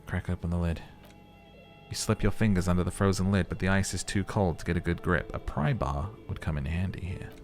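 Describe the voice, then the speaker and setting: Man performing a literary RPG narrator: deep, measured, dramatic, moody, light music bed. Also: a little mellow. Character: second-person game narrator, moody, literary, deliberate.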